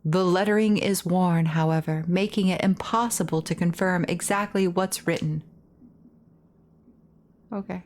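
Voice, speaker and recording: A woman's voice: fairly low pitch, steady tone, a little slow, pauses often, darkish tone, a little hiss or hum. A woman doing let's play narration, reading on-screen text theatrically with casual asides. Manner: reads dialogue theatrically between casual asides. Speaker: streamer reading game dialogue aloud.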